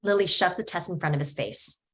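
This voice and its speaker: A woman reading screenplay stage directions at a table read in a steady, descriptive way. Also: fairly low pitch, brisk.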